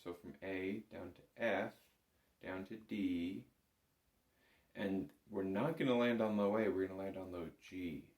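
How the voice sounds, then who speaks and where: A man's voice: deep voice, measured pace, a little mellow, reverberant. A man giving an informal step-by-step music lesson as an instructor, calling out notes and counts. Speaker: bagpipe instructor.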